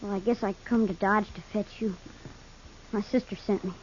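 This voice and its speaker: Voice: medium pitch, steady tone, normal speed, a little hiss or hum, lightly acted. A performer playing a sullen, stubborn farm boy with a rural American Western accent in a 1950s radio Western, terse, defiant and grudging. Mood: reluctant, confiding. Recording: dull, muffled 1950s radio recording.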